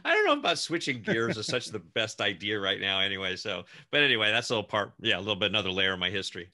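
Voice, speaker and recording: A man's voice: lowish voice, very animated, fast, bright, forceful tone. A man in his sixties, co-hosting a casual interview, reminiscing with frequent laughs and restarted phrases. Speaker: co-host, former child actor. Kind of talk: casual video-call chat.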